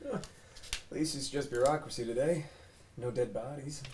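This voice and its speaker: A man acting a gruff, sarcastic police detective in a scripted drama read, casual and needling. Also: mid-range voice, moderate pace, a little mellow, natural voice. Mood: teasing. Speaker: actor reading a police detective at a table read. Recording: roomy, distant mic, faint background noise.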